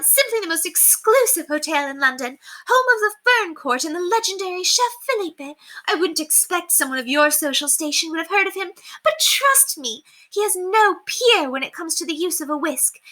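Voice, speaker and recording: A woman's voice: extremely high pitch, sharp tone, voice pushed up, full character voice. A woman voicing a haughty, melodramatic upper-class lady from a storybook, theatrical and gushing. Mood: excited, gushing. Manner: theatrical, gushing, indignant exclamations. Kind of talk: storybook character voice read aloud.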